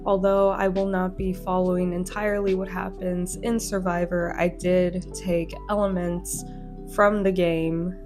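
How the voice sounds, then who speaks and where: A woman with a slightly hoarse voice from a cold, making an earnest, heartfelt announcement to her channel's followers. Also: lowish voice, flat delivery, measured pace. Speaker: channel host and fan fiction author. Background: plucked guitar music.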